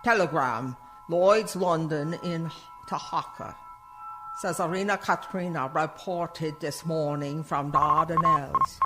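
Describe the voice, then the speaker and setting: Actor as a slow, solemn telegram reader. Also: male voice, a little high, music in the background, natural voice, poor audio. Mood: formal. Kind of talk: radio play announcing.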